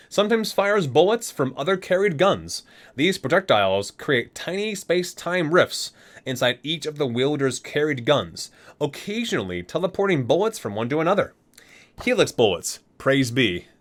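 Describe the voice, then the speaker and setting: A man's voice: a little high, a bit bright. A man doing an ASMR reading, reciting expressively and dropping in casual, murmured offhand remarks. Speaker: video creator reading aloud from a game guide book.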